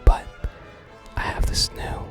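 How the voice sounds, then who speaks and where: A person whispering softly while hosting an ASMR video game playthrough and reading game text aloud. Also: slow. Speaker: host of a gaming ASMR channel. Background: game music.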